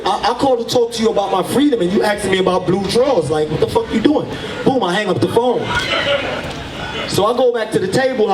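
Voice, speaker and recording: A man's voice: high-pitched, very noisy. A man in his forties with a Jamaican accent mixed with New York, telling a story on stage and switching into patois. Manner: animated, switches into patois for quoted voices. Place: live event stage. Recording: roomy venue sound from far off.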